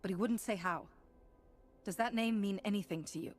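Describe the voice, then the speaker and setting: An actress playing a steadfast, confident heroine in a video game, composed and assured. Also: female voice, medium pitch, moderate pace, close to an everyday voice. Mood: serious. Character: steadfast, confident female Jedi hero. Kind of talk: video game character acting.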